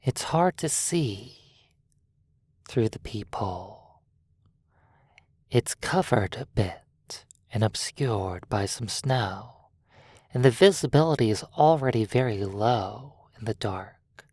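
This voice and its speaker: Very slow, breathy hypnotic narrator. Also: medium pitch, expressive, no echo, studio quality. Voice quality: breathy, soft. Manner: slow, deliberate, long pauses between phrases.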